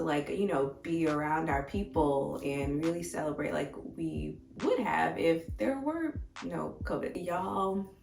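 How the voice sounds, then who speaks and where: Casual vlogger, American woman, lowish slightly raspy voice, expressive, recorded away from the mic. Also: slight background noise. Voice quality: a little raspy. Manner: informal, expressive, talks directly to viewers. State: slightly hoarse. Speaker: vegan food vlogger and host. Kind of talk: casual chatty life-update vlog. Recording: echoey room, distant mic, faint music.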